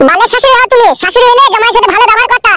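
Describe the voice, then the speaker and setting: Whiny arguing cartoon son in law, really high and fast, sweary, sarcastic. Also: female voice, bright, forceful tone, no room sound, soft background music. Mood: aggrieved. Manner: complaining, sarcastic, argumentative. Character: aggrieved, foul-mouthed, quarrelsome young cartoon son-in-law. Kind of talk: crude comedy cartoon dubbing.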